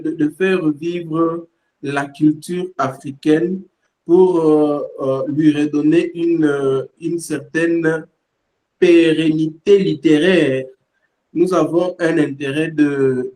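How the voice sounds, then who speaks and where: A man sharing his thoughts in a panel discussion, halting and full of fillers and repeated words. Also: on the high side, darkish tone. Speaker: panel participant. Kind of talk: hesitant spoken reflection.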